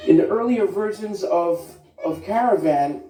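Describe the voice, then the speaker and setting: Mellow guy explaining music history casually, rewords as he goes, echoey and a bit off mic, music under it. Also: sits high, animated, unhurried, recorded from a distance. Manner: explanatory, repeats and rephrases as he goes. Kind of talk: informal music history talk.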